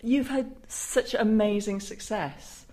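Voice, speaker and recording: A woman conducts a celebrity interview in a medium-pitched voice. Her style is conversational, moving from remarks into questions for her guest. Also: slow, mellow.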